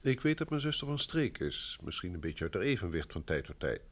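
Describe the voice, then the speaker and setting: Firm gentleman, lively intonation. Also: deep voice, brisk. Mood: protective, firm. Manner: courteous, formal, firm. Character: protective, sceptical gentleman brother, a grown man. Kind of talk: old Dutch radio play acting.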